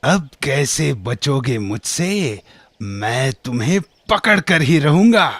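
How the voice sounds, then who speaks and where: A man voicing a gloating, greedy male wolf in a children's cartoon story, with a husky, menacing, drawn-out delivery. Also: medium pitch, very animated, a bit bright, dry recording, exaggerated voice. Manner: slow, drawn-out menacing delivery with villainous laughs.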